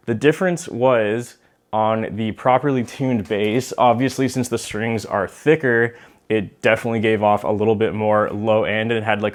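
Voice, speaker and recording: A man with a mid-pitched voice hosts an online gear video. He speaks in a casual presenting style, explaining things directly to his viewers. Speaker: host of a bass gear video series. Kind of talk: casual presenting to camera, product comparison.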